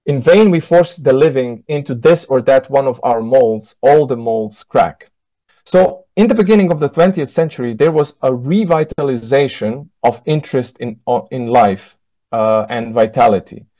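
A man in his thirties whose first language is Slovene, giving an academic lecture over a video call in a measured way, often correcting himself mid-word. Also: medium pitch, warm tone, dry recording, rough recording. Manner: measured, with frequent self-corrections and fillers. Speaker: keynote lecturer. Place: indoor room, on a video call.

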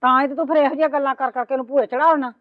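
Sarcastic mother-in-law played by a woman, high and fast, dry muffled recording. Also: fairly even intonation, no echo, lightly acted. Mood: indignant. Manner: complaining, sarcastic, nagging with repeated "hain?". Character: scolding, proud mother-in-law, middle-aged woman.

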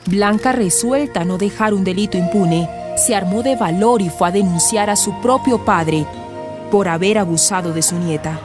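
A woman's voice: medium pitch, moderate pace, over music, natural voice. A woman narrator reading scripted narration for a radio drama. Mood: storytelling.

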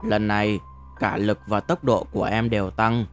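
A man's voice: lowish voice, steady tone, moderate pace, soft background music, natural voice. A man voicing an approving, commanding male trainer in an audiobook, giving brief praise and instructions.

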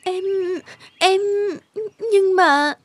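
A woman voicing a gentle, insecure young working-class girlfriend in a cartoon drama, earnest and hesitant. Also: very high voice, steady tone, very slow, no echo, exaggerated voice. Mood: pained, pleading. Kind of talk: animated drama dubbing. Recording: music under the voice.

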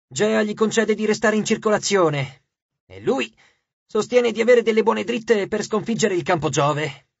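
A man voicing a scornful, sharp-toothed ghost suitor with a mocking, domineering manner. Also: high voice, animated, unhurried, vocal fry, dry recording, muffled recording, voice pushed up, full character voice. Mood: scheming.

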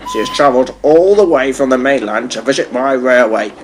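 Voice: medium pitch, animated, fairly quick, slight background noise, natural voice, low-quality recording. Performer as kindly, commanding railway boss. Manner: authoritative, kindly. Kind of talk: children's storybook character voice.

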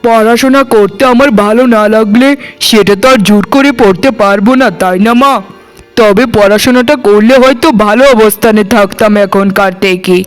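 A man's voice: high voice, moderate pace, smooth, soft background music, close to an everyday voice. A man voicing a defensive, argumentative grown child talking back to a parent in a cartoon. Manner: argumentative, self-justifying. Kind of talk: cartoon voice acting.

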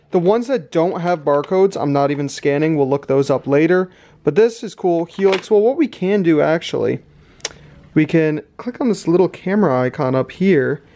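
A man with a fairly high-pitched voice gives casual, off-the-cuff vlog commentary. He thinks aloud as he tallies things up. The recording has noticeable background noise. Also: darkish tone. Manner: thinks aloud while tallying numbers. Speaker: reseller host of a thrifting channel. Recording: clear background noise, clicks.

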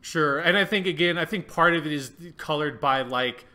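Rambling bright-voiced podcast co-host man. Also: a little high, normal speed. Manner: rambling, many fillers, swears casually. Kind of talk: casual chat.

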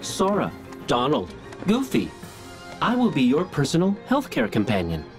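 A man voicing a gentle, helpful healthcare robot in a video game, polite, measured and literal. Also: fairly high pitch, wildly swooping pitch. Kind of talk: animated video game cutscene acting. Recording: music behind the voice.

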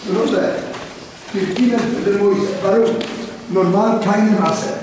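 High-voiced male guide, echoey. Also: fairly even intonation, background noise. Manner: joking, halting. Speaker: tour guide. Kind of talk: casual chat in simple broken sentences. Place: underground concrete bunker. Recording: echoey tunnel, other voices behind.

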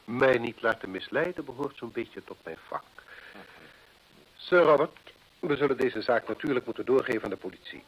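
An actor playing a sharp, dryly superior male detective in a radio play, speaking formally and probingly with teasing dryness. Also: mid-range voice, lively intonation, normal speed, breathy edge. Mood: stern, incisive. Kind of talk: Dutch radio drama acting. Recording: muffled vintage radio play recording.

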